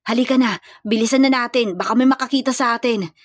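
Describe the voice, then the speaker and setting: A woman's voice: a little high, fast, no echo, clean studio sound, close to an everyday voice. A woman voicing a serious, protective young male hired killer in a dramatized romance story, firm and commanding. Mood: guarded, serious.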